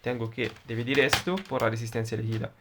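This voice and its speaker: A man with an Italian accent, a native Italian speaker, explaining electronics in a deliberate, halting way with frequent fillers. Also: medium pitch, steady tone, noisy background. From Italy. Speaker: electronics tutor. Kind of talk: explaining circuits at a whiteboard.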